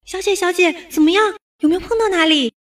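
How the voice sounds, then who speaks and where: A woman's voice: very high-pitched, fast talker, fairly bright tone. A woman playing a dutiful, caring young maidservant in a Chinese period audio drama, deferential and fussing. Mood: alarmed. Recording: distant, music behind.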